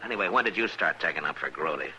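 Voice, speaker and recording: An American actor playing a firm, steady Old West marshal in a radio western, with a dry, measured, authoritative delivery. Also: male voice, rumbling low. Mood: dry, unruffled. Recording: old radio broadcast with background hiss.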